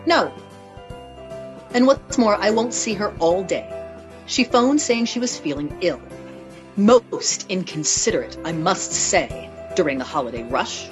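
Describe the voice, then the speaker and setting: A woman playing a snooty, peevish male store floor walker in a radio play, with a haughty, drawn-out delivery. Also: medium pitch, animated, music in the background, lightly acted.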